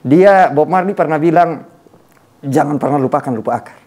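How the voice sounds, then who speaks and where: Interview host, man, slightly high voice with a dark tone. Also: moderate pace, husky. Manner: frequent murmured agreement. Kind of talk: casual interview chat.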